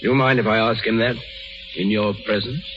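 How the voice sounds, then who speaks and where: An actor playing a stern, suspicious emperor, curt and sardonic, in 1930s radio style. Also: male voice, lowish voice, steady tone, fairly quick, lightly acted. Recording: muffled 1930s radio broadcast with music.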